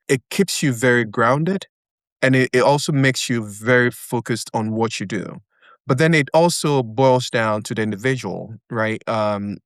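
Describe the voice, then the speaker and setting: Ghana-born guy, 50s, smooth clear voice, dry sound, lots of uhs as he talks. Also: mid-range voice, dry recording. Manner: measured, many hesitations. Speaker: guest. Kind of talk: interview conversation.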